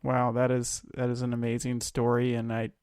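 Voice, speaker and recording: A man's voice: mid-range voice, completely flat delivery, moderate pace, darkish tone, smooth, no echo, clean studio sound. A man hosting an interview, responding earnestly and appreciatively to his guest. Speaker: podcast host. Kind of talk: interview conversation.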